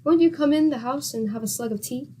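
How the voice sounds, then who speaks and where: An actress playing a patient, good-humored wife with a teasing, exclamatory manner in a 1940s-style radio comedy. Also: female voice, high voice, quick pace, warm tone, slight background noise, natural voice.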